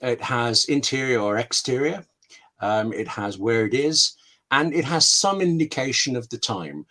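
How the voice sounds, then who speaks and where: A man's voice: medium pitch, animated, unhurried, pushed and bright. A man being interviewed as a scriptwriter on an online call, explaining with examples and anecdotes in a candid way, often interrupting himself. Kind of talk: explanatory webinar chat with examples.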